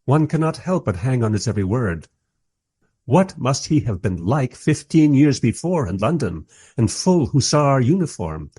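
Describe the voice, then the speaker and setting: A man's voice: medium pitch, warm tone, slightly rough. A man playing a reflective documentary maker who narrates a radio play in a wry, literary, musing way.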